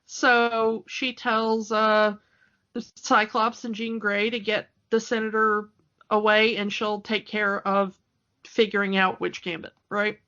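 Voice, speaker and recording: A woman's voice: mid-range voice, little pitch movement, slow, sharp tone, lo-fi sound. A woman co-hosting a podcast, chatting casually through a recap with a fan's wry asides. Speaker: podcast co-host. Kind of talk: casual chat recapping a TV cartoon.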